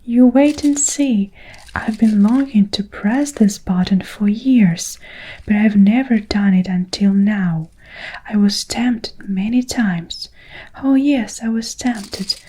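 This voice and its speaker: A woman voicing an eccentric, cheerful male candy maker in a breathy whisper while reading a storybook aloud. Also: mid-range voice, warm tone, rough recording. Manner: whispered, gleeful.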